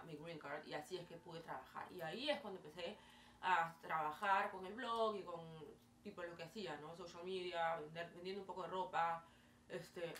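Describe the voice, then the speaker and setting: A woman in her twenties from Peru with a Peruvian Spanish accent, casually telling her story to camera with lively hand gestures and many fillers. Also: lowish voice. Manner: chatty, animated hand gestures, frequent fillers. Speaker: vlogger. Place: small bedroom, indoors. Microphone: camera mic at a distance. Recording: roomy, distant, faint hiss.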